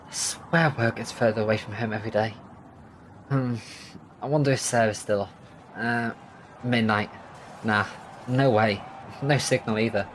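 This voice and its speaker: A man's voice: fairly low pitch, fairly even intonation, normal speed, pauses often, natural voice. A man playing a grumbling working man, street noise. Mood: weary grumbling. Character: Craig, grumbling ordinary working man walking home, expectant father. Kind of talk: audio drama acting. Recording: noisy outdoor street ambience.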